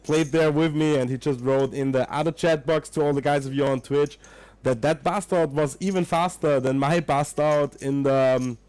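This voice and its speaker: A man's voice: fairly high pitch, fairly even intonation, normal speed, a little mellow, clean tone, dry recording. A young man from Austria, a non-native English speaker with an Austrian German accent, commentating a poker livestream and chatting with viewers, sometimes searching for words. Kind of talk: live poker commentary with chat.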